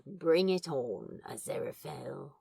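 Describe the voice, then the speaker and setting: A woman's voice: deep voice, unhurried, creaky, warm tone, full character voice. A woman playing a smitten, awkward but earnest man on a first date in an audio story, sincere and teasing. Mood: playful.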